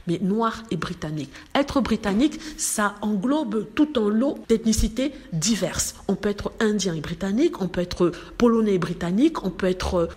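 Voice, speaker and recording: A woman's voice: medium pitch, lively intonation, normal speed, hardly any pauses, a little mellow. A woman vlogger talking straight to her viewers in casual commentary, indignant and emphatic.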